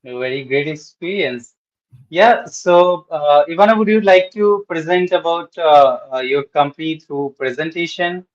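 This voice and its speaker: A man's voice: on the high side, measured pace, lo-fi sound. A man hosting a webinar, giving a polite and formal introduction that sounds partly scripted. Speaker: webinar host.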